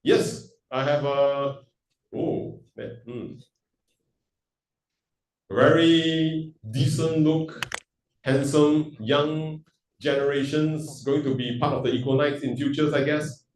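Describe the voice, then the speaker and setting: A man's voice: fairly high pitch, steady tone, slow pace, a little mellow, recorded from a distance. Joking man hosting in a hall. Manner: joking, chatty, switches languages. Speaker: event host. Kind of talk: casual Q&A hosting with jokes. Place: event hall.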